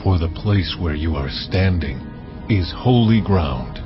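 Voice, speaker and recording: An actor playing God as a solemn, commanding divine voice, proclaiming slowly and with weight. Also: male voice, low voice, expressive. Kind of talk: dramatized scripture reading. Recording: muffled, over loud cinematic music.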